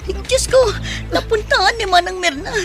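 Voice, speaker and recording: A woman's voice: very high-pitched, normal speed, fairly bright tone, soft background music. A woman playing a frightened, devoted younger rebel on the run in an emotional radio drama. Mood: anguished, desperate.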